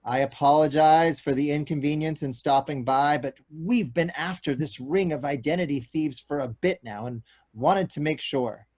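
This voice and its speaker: An actor playing a courteous, apologetic police detective who explains bad news in a measured, official way at a table read. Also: male voice, a little high, a little mellow, natural voice. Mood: reassuring. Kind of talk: stage comedy-drama table read.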